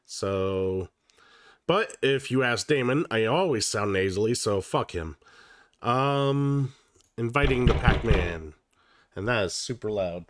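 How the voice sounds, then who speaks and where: A man's voice: mid-range voice, lively intonation, takes it slowly. Sick, nasal-sounding man streaming casually. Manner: casual and profane, with many fillers and restarts. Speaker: livestream host. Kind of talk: casual livestream chat.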